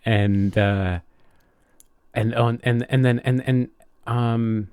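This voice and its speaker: A man chatting casually and reflectively as a podcast co-host, often halting as he searches for words. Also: mid-range voice, steady tone, a little mellow, smooth, dry recording, clean studio sound.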